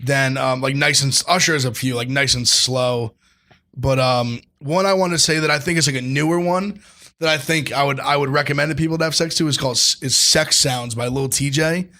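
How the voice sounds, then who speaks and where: A man co-hosting a podcast in casual chat, with a deep raspy baritone and a laid-back delivery full of "like" fillers. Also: fairly even intonation, a little fast, bright, forceful tone. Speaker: podcast co-host.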